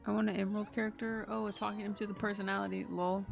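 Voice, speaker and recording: A woman streamer giving casual, slangy, excitable commentary on a game playthrough, swearing freely. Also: mid-range voice, fast talker, vocal fry, faint music behind.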